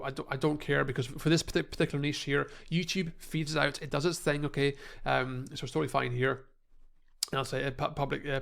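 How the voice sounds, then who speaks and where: A man's voice: a little high, vocal fry. A man in his thirties with a slight cold that makes him a bit nasal, coaching viewers casually in a home office with a desk microphone, talking with many fillers and restarts. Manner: repetitive, lots of fillers and restarts, addresses viewers as guys. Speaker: online business coach. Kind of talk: casual screen-share tutorial. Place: small home office room. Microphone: desk microphone in front of him.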